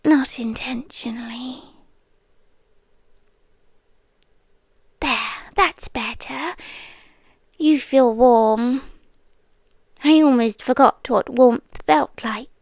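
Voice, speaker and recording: A woman voicing a lonely, eerie trapped woman who is half a monster. She speaks slowly and softly, often in a breathy whisper, with coaxing intimacy. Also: high voice, no room sound, exaggerated voice. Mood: tender. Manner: slow, soft and intimate, coaxing. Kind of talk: second-person audio drama.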